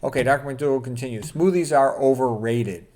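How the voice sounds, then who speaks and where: A man's voice: mid-range voice, brisk, a little mellow. A man lecturing informally as a doctor, reading out quotes and adding blunt, opinionated, colloquial commentary. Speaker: doctor presenting a health lecture.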